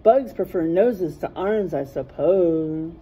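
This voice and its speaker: A middle-aged woman reading a rhyming picture book aloud in a teacherly way, pausing to point out word pairs. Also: on the low side, lively intonation, dark tone, slightly rough, rough recording. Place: small classroom, indoors.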